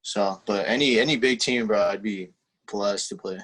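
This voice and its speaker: A teenage man whose first language is Spanish talks casually as an interview guest. His voice sits fairly low, and his answers come out relaxed and halting, with lots of "um" and restarts. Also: slightly rough, poor audio. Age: teens. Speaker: guest, young footballer.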